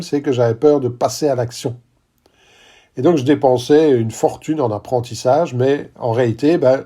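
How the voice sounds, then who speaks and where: Husky, mellow French-speaking podcast coach. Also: male voice, medium pitch. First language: not English. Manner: emphatic, repeats key phrases, addresses listeners with "d'accord?". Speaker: podcast host and business coach. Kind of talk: podcast monologue.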